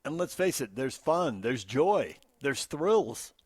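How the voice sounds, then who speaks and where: A man's voice: mid-range voice, big pitch swings, takes it slowly, breathy edge, no room sound, clean studio sound. An American man co-hosting a sports talk radio show, bantering and reading out comments in punchy, emphatic short phrases. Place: radio studio. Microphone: close studio microphone.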